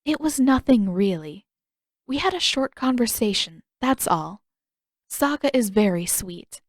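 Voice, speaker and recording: A woman's voice: fairly high pitch, expressive, measured pace, no room sound. Woman doing a sweet young princess, polite n gracious, kinda formal, fantasy story, very dry recording. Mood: gentle, sincere.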